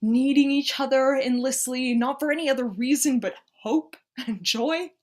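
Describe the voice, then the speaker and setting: An actress playing an anguished, devout woman who pleads with and challenges God in halting, impassioned speech, in a staged play reading. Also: high-pitched, natural voice. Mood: pleading, wounded. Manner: halting, impassioned, swinging between hushed and pleading.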